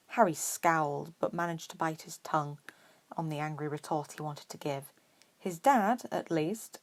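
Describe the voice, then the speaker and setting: A woman reading scripted fiction aloud as a story narrator. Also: deep voice. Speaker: podfic narrator.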